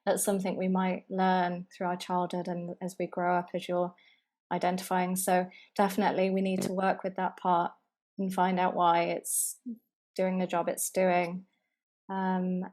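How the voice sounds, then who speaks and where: A woman speaking as a guest expert in a calm, steady conversation, affirming what others say. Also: on the low side, moderate pace. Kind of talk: casual conversation.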